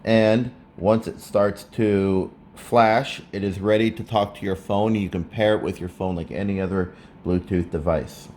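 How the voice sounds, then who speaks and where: Low-voiced man giving a casual tutorial, background noise. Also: steady tone, a little slow, hardly any pauses, darkish tone. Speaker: presenter of a how-to video. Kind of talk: casual hands-on tutorial narration. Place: indoors, living room at a table. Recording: clear background room noise.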